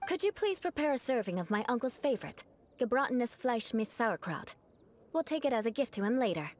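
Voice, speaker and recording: A woman's voice: a little high, big pitch swings, fairly quick. A woman voicing a haughty, vengeful young aristocrat in a video game, with poised, theatrical, formal diction. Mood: formal, indignant.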